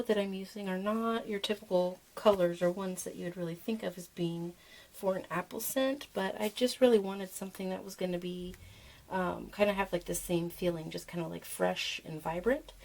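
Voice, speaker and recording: A woman with a hoarse, froggy voice from being sick, casually walking through a soap-making demonstration with many fillers. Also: medium pitch, moderate pace, rough recording. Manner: relaxed, rambling, with many fillers. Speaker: soap maker and channel host.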